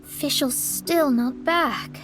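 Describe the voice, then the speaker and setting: Poised, high-voiced young game heroine. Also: female voice, drawn-out words. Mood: composed, assured. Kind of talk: video game character dialogue. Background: music.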